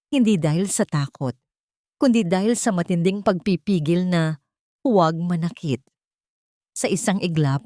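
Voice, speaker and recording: A woman's voice: low-pitched, darkish tone, no room sound, studio quality. A woman reading a scripted dramatic story as an audiobook narrator.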